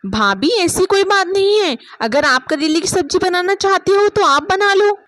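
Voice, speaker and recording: Lady doing a nice dutiful young bahu in a cartoon story, high soothing polite voice, dry. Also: animated, normal speed, fairly bright tone, no echo. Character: sweet, dutiful young daughter-in-law. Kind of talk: cartoon moral story acting.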